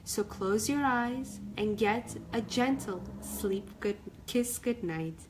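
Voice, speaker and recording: A woman voicing a gentle storybook sleep fairy with a soothing, lullaby-like delivery. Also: fairly high pitch, expressive, heavy background noise. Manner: soothing, slow sing-song.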